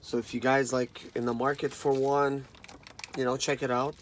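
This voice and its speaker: A man's voice: medium pitch, flat delivery, normal speed, slight background noise. A man in his thirties chatting casually and informally to viewers as he reviews a product. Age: 30s. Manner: informal, conversational, addresses viewers as guys. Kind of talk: casual unboxing chat to camera.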